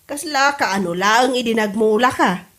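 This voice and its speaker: A woman's voice: on the high side, moderate pace, fairly bright tone, close to an everyday voice. A woman with an Ilocano accent playing a curious, admiring village mother in a comedy audio drama.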